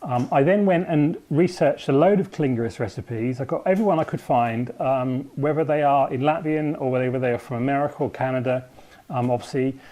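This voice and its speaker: A man's voice: medium pitch, fairly quick, warm tone. Rambling man explaining a bake. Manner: rambling, thinks aloud with frequent ums. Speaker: home baker presenting a recipe. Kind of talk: casual recipe explanation to camera.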